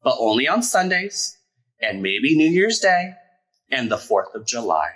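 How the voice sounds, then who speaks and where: A man reading a children's picture book aloud as a storyteller, expressive and emphatic. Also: mid-range voice, measured pace.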